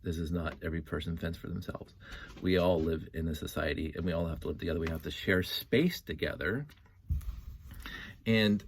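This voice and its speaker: A man's voice: sits low, slight background noise. A man in his fifties giving a casual, opinionated monologue, earnest and often interrupting himself as he thinks. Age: 50s. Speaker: host of a story-time monologue.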